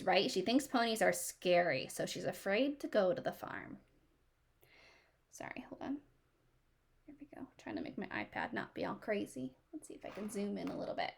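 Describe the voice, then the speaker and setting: A woman reading aloud to children as a teacher, expressive, pausing to ask questions and explain things simply. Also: mid-range voice, vocal fry.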